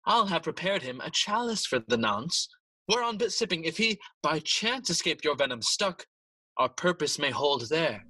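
Husky, scheming king. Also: a little high, sing-song, pushed and bright, no room sound, exaggerated voice, rough recording. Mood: plotting. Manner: theatrical, persuasive, deliberate. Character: scheming, smooth-talking king plotting murder. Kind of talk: bilingual Shakespearean audio play acting.

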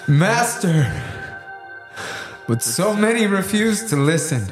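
Male actor as humble apostle, lowish voice, solemn, fervent, music behind, audio drama. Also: measured pace, lightly acted. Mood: awed, pleading. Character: devout, humble apostle, earnest healer and missionary.

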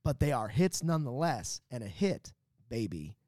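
A man's voice: mid-range voice, very animated, normal speed, mellow, no echo, clean studio sound. A man co-hosting a casual panel show, teasing the others and using mock-grand phrasing. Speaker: podcast panelist and co-host. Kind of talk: casual banter.

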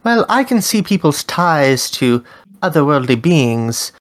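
A woman playing a dream-summoning host who asks friendly, measured questions. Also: low-pitched, big pitch swings. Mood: curious. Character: Rue, a dream-summoning interviewer. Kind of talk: tabletop character interview.

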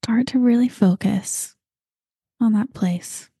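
A woman guiding a meditation, talking slowly and calmly and at times dropping into breathy whispers. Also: fairly high pitch, dry recording, clean studio sound. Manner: slow, soft and calm, drifting into breathy whispers. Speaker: meditation guide. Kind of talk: guided meditation.

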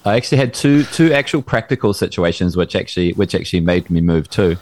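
A man's voice: low-pitched, animated, quick pace, darkish tone, slight background noise. A man, a band vocalist and podcast guest, chatting casually and rambling, repeating words as he searches for them. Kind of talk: casual chat. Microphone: in-ear monitors with podcast mic.

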